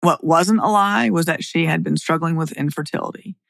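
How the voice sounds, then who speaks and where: Middle aged woman podcast host, blunt lawyer type, gravelly fry in her voice, dry close sound. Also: low voice, normal speed, dry recording. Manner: blunt, plain-spoken. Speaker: podcast host and divorce attorney. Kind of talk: scripted narration and opinionated podcast talk.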